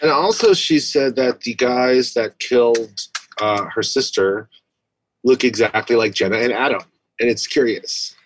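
A man co-hosting a casual podcast, cracking goofy deadpan jokes. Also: medium pitch, measured pace, slight background noise, poor audio. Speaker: podcast co-host. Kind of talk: casual chat.

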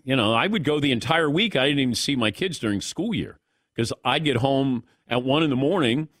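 A middle-aged man hosts a sports radio show with a lively, swinging delivery. He tells stories about himself in a relaxed, self-deprecating way. The studio mic sounds close and dry. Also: mid-range voice, a little fast, no echo. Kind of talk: casual radio talk with callers and crew.

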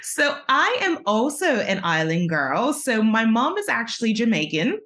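A woman's voice: on the high side, big pitch swings, measured pace, a bit bright. A woman from Bermuda who hosts a podcast, reads an introduction and asks questions in an upbeat, welcoming way. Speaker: podcast host. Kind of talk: podcast interview, scripted introduction.

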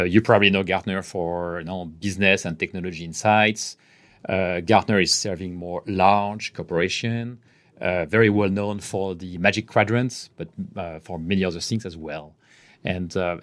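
Low-voiced French-accented man, measured. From France. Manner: measured, often pausing mid-phrase, voice trailing off softly. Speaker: guest executive. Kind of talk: podcast interview answers.

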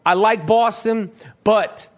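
A man's voice: high voice, takes it slowly. A man hosting his podcast in casual chat, swearing often and stumbling over restarts. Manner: profane, stammering restarts. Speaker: podcast host.

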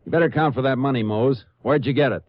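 A man acting a steady, businesslike Texas Ranger in a 1950s radio western, terse and matter-of-fact. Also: mid-range voice, fast, lightly acted. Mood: stern, probing. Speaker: lead actor and narrator in a radio drama. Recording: dull 1950s radio recording.

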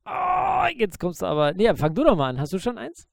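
A man's voice: high voice, huge pitch swings, fairly quick, creaky, no echo. A man co-hosting a podcast, chatting casually in loose, joking banter. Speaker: podcast co-host. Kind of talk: casual chat.

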